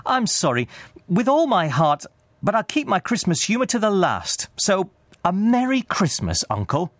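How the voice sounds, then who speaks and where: A man voicing a cheerful, warm-hearted young nephew in a storybook reading, eager, earnest and pleading. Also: high voice, huge pitch swings, moderate pace, dry recording, studio quality, voice pushed up, exaggerated voice.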